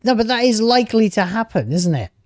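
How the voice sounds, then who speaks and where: A man's voice: high voice, big pitch swings, a little fast, no room sound, studio quality. A man in his thirties streaming from home on a headset mic, reacting to video clips in casual, argumentative commentary. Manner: argumentative, talks back at the clips.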